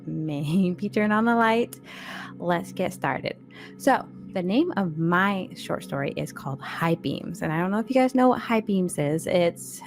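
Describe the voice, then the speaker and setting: A woman co-hosting a read-along, telling a scary short story and addressing listeners informally and directly. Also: fairly low pitch. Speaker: podcast co-host and story reader.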